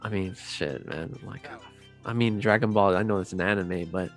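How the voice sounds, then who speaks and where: A man in casual chat, speaking in a halting, slangy, opinionated way. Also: deep voice. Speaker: podcast co-host. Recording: music under the voice.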